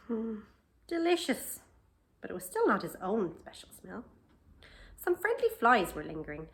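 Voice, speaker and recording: A woman reading a picture book aloud to children, expressively, with questioning asides and drawn-out emphasis. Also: a little high. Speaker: library storytime reader.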